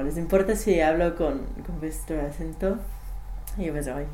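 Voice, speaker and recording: Low, mellow-voiced woman, hesitant learner. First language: English. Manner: hesitant, many fillers and restarts. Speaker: language study participant. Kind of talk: spontaneous interview answers. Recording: echoey room, faint noise.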